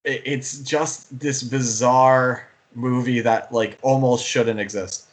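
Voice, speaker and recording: A man's voice: mid-range voice, fairly even intonation, slow. A man co-hosting a podcast, talking casually about movies in an enthusiastic, rambling way. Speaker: podcast co-host and film fan.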